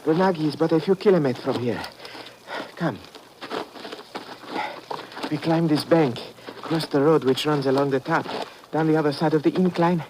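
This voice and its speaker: Wary Central European guide, highish voice man acting. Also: natural voice. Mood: hushed urgency. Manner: formal, deliberate, foreign phrasing. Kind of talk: 1950s radio adventure acting. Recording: noisy vintage radio recording.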